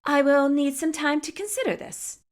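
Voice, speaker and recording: A woman's voice: sits high, moderate pace, pitched above the performer's own voice, close to an everyday voice. A woman voicing a guarded, awkward teenage girl hacker with a dry, hesitant manner in a fan fiction reading.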